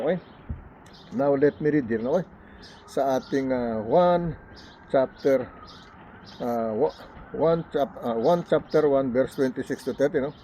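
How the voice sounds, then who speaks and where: A man with a Filipino accent reading Bible verses slowly and preaching informally, stumbling over words and tagging lines with "no?" and "okay?". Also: mid-range voice, mellow, a little hiss or hum, poor audio. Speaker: lay preacher.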